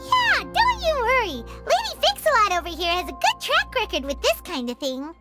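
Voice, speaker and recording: Extremely squeaky, cheeky little sprite. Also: female voice, wildly swooping pitch, moderate pace, heavily acted. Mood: cheeky, encouraging. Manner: chirpy, exaggerated. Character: tiny, cheeky, childlike floating sprite companion. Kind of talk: video game voice acting. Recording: loud jingly music behind the voice.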